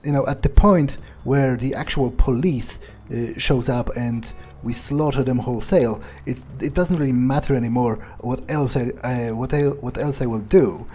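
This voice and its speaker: A man roleplaying a cynical, amused, bloodthirsty vampire in a video game, husky, musing and sardonic in an improvised way. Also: medium pitch, mellow, slight background noise, close to an everyday voice. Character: cynical, bloodthirsty, amused young male vampire, foul-mouthed.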